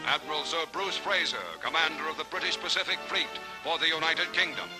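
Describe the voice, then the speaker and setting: A man's voice: a little high, fairly quick. A man with an American accent narrating a 1940s newsreel in a dramatic, formal announcer style. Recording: vintage newsreel soundtrack with loud music. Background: music.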